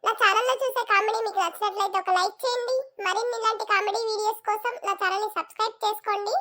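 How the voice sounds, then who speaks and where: Squeaky chirpy cartoon voice, very high, cheeky schoolboy tone. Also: fairly even intonation, rapid-fire, bright, forceful tone. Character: cheeky schoolboy answering the teacher. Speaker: channel host and cartoon voice. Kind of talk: cartoon joke sketches.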